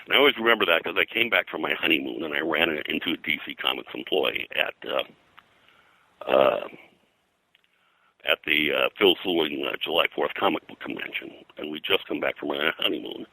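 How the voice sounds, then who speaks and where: An older American man reminiscing as an interview guest, in a halting, rambling way. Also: a bass voice, lively intonation, sharp tone, dry recording, low-quality recording. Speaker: guest, comics colorist and publisher.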